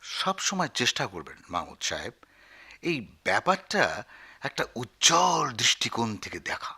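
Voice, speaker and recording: Pompous male newspaper editor, very bright forceful voice, swooping delivery, florid comic radio-play grandeur. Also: mid-range voice, wildly swooping pitch, a little slow, gravelly, breathy edge, full character voice. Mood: effusive, instructing. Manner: pompous, florid, wheedling, with hesitant filler sounds. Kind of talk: comic Bengali radio drama acting.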